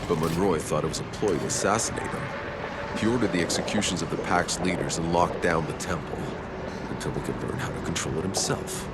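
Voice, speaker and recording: An actor playing a grave, matter-of-fact soldier in a video game cutscene. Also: male voice, sits low, a little fast, over loud music, natural voice. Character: gruff soldier reporting grim discoveries to companions.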